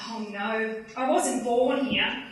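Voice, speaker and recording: A woman's voice: on the high side, slow pace. A woman playing a friendly, down-to-earth small-town beautician. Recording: echoey room, distant mic, faint hiss.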